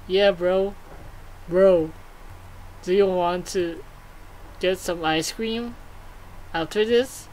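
A woman voicing a lovestruck, awkward male armored guard in a video game, talking in halting bro slang. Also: lowish voice, fairly even intonation, a little mellow, a little hiss or hum, low-quality recording. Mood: awkward, shy.

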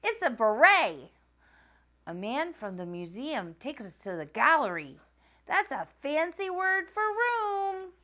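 Animated woman reading a picture book. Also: high-pitched, unhurried. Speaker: storytime host reading aloud. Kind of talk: children's picture book read-aloud. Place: small furnished room at a wooden table, indoors.

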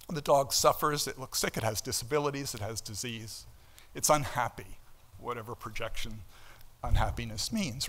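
An older man delivers a keynote from a lectern. His pitch sits in an ordinary middle range for a man. He explains things conversationally, leaning on key words and tossing out rhetorical questions and asides. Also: a bit bright. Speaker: professor giving a keynote lecture. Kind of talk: conference lecture, explanatory and conversational. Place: indoor auditorium stage at a lectern.

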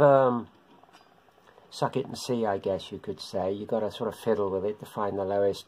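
A middle-aged man with a husky voice gives an informal beginners' tutorial, explaining haltingly in short phrases at home. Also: fairly low pitch, darkish tone. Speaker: hobbyist radio instructor. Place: home living room.

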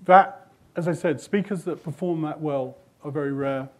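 A man's voice: a little high, moderate pace, darkish tone. An older man with a British accent, a magazine editor answering audience questions at a conference talk in a hotel meeting room. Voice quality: thoughtful, loose explanatory manner with restarts. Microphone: podium mic, speaking away from it.

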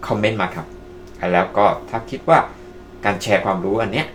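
A man's voice: lowish voice, animated, fairly quick, a little hiss or hum. A man presenting casually to online viewers as a tutorial host, addressing them politely as friends. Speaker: presenter of video-making tutorials. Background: music.

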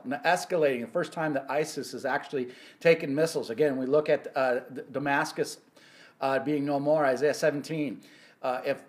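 A middle-aged man hosting a weekly Bible prophecy talk, speaking earnestly with frequent halting restarts, recorded indoors on a close phone camera. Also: medium pitch. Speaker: ministry host. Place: indoor office or home room.

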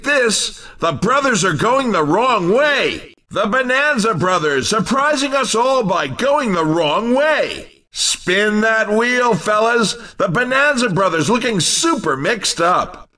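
Wry excitable racing game announcer, high pitched and really swoopy, teasing the drivers. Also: male voice, recorded from a distance, full character voice. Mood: amused, excited. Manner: wry, showy sportscaster delivery. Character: excitable, cheeky male race commentator, teasing drivers. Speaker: race commentator. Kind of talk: video game race commentary.

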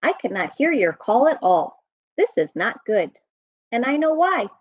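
American woman, storytime reader, sing-song rhyming read-aloud, fairly high pitch, dry close room sound, dull webcam audio. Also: big pitch swings, a little slow, dry recording. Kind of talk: reading a rhyming picture book aloud. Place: room at home.

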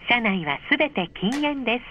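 A woman reading a polite, measured scripted announcement over a train's speakers, clearly enunciated. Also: a little high, expressive, bright, forceful tone. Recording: dull, muffled train speaker recording.

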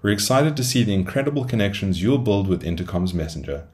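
A man's voice: on the low side, sing-song, quick pace, a little mellow. A man presenting a product demo as a solution engineer, reading scripted tutorial narration in an upbeat, salesy way. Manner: upbeat, salesy phrasing with rhetorical questions.

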